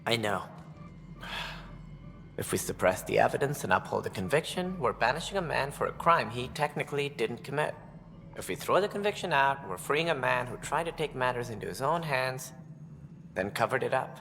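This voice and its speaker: Smooth, self-important alien director in echoey hall. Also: male voice, medium pitch, lively intonation, moderate pace, fairly bright tone. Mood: grave, measured. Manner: formal, politician-like. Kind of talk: video game cutscene acting. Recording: echoey hall with clear background noise.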